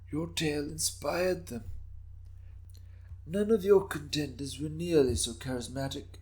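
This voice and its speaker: A man voicing a shrewd, courteous old Japanese daimyo with measured, formal speech in a dramatic reading of historical fiction. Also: mid-range voice, a little mellow, pitched above the performer's own voice, natural voice, poor audio. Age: older.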